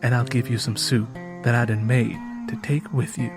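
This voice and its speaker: A man's voice: medium pitch, little pitch movement, normal speed, music in the background, pitched below the performer's own voice, natural voice. A man voicing a kind, weary, practical country woman with a Southern accent in plainspoken rural dialect for an audiobook. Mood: kind, resigned. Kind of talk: audiobook character voice.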